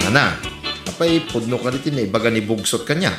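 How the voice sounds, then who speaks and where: A man performs an exclamatory, teasing male friend in an Ilocano-accented comedy drama. His pitch jumps about in a lively way, and the recording has a noticeable room echo. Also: fast talker, loud music behind the voice, natural voice. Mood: teasing, animated. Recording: roomy echo.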